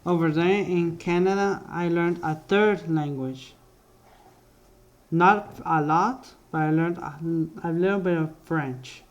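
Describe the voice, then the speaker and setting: A young man casually narrating his life story over drawings, halting often and correcting himself as he goes. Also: fairly high pitch, dull audio. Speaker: vlogger.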